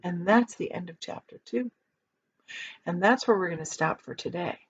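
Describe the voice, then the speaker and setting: A woman reading a children's book aloud like a teacher, in an expressive storytelling style. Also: fairly low pitch, normal speed, breathy edge. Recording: slightly dull, lo-fi sound.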